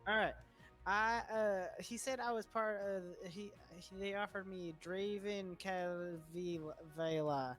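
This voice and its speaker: A woman player speaking in character with a put-on Southern US accent, halting and full of fillers. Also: mid-range voice, steady tone, soft background music. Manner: halting, hesitant, many fillers. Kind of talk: in-character roleplay.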